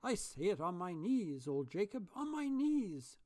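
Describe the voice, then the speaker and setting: A reader voicing a remorseful old miser who turns giddy, pleading and exclaiming at a lifted pitch. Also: big pitch swings, moderate pace, close to an everyday voice. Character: old miser, repentant and overjoyed.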